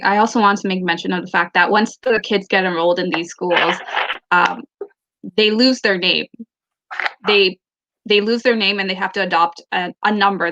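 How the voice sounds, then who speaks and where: A woman whose first language is Tamil talks through a book club discussion over a video call, picking her way through her thoughts with fillers and restarts. Her voice is bright and a little tense. The call audio is dull and lo-fi. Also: medium pitch. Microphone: laptop or phone mic on a video call.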